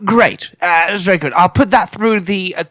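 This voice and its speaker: A man's voice: high-pitched, normal speed, fairly bright tone, no echo, clean studio sound. A man in improvised comedy talk, bumbling politely and stuttering over his words. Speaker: alien-radio translator expert.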